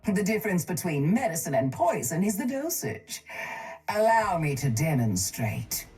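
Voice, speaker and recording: An actress voicing a cold, calculating, wealthy woman crime baron in a video game, smug, measured and condescending. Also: on the low side, sing-song, darkish tone, slightly rough, full character voice. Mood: smug menace.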